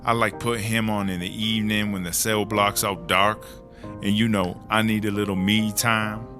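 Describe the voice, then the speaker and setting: A man's voice: fairly low pitch, little pitch movement, normal speed, close to an everyday voice. A man playing a streetwise, jaded female prison lifer, telling stories in casual, profane slang for a comedy audio drama. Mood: wistful, relaxed. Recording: music bed under the voice. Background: music.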